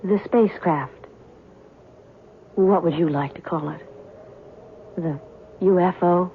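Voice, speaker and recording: A woman's voice: on the low side, slow pace. An actress playing a mysterious, aloof woman with a cool, curt, knowing manner in an old-time radio drama. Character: mysterious, aloof stranger calling herself a reporter, coolly knowing. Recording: tinny, band-limited old radio recording, faint noise.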